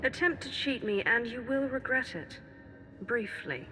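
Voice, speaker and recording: A clipped, cold voice speaks in short, threatening lines. a ruthless dark-side commander in a game cutscene, with music behind. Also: female voice, on the high side, lively intonation, measured pace, rough recording.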